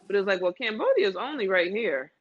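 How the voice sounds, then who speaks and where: A woman's voice: medium pitch, lively intonation, fast. Woman chatting casually, dull lo-fi sound. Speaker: podcast speaker. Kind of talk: casual travel chat between friends.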